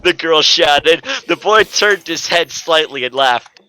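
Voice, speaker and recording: A man's voice: a little high, animated, unhurried, bright, forceful tone, clean tone. A man narrating a comic story informally as an amateur dramatic reading, stumbling over the text at times.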